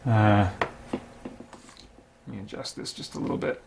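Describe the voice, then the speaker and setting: A man's voice: fairly low pitch, fairly even intonation, unhurried, gravelly, darkish tone, slight background noise. A man hosting a solo tabletop gaming stream, giving casual running commentary and thinking aloud as he talks through each move. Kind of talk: casual running commentary while playing a board game.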